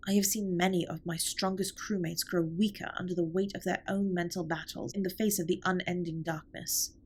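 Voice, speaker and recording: A woman playing a formal, caring alien spaceship captain in an intimate audio drama, speaking in a measured, precise way with a breathy, husky voice. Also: lowish voice, steady tone, close to an everyday voice. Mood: thoughtful, solemn. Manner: formal, measured, precise wording with few contractions. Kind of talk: scripted roleplay audio drama, intimate comfort monologue.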